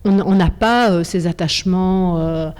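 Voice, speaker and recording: Low mellow woman, measured pace. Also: smooth. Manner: measured, hesitant, many fillers and restarts. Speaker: interview guest, teacher. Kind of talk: thoughtful interview talk. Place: TV studio.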